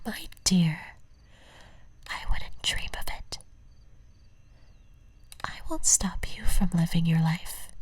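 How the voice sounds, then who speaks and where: A woman playing an obsessive, possessive stalker in an ASMR roleplay, whispering softly and breathily with tender menace and ornate, deliberate endearments. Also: lowish voice, husky, heavily acted.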